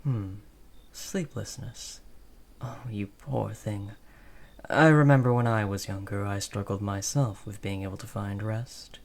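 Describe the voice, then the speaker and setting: Low-voiced courtly gentleman footman, played by an audio performer. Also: fairly even intonation, measured pace. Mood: gentle, musing. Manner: formal, old-fashioned, polite phrasing. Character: courtly, gentle male footman, secretly a werewolf, flirtatious. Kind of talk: romantic dark fantasy audio roleplay.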